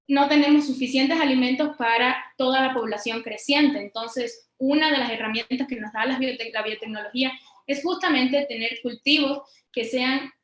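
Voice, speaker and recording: A woman's voice: a little high, a bit bright. A woman from Cuba answering interview questions informally, explaining things directly to her listeners as a scientist guest. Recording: roomy, echoing sound.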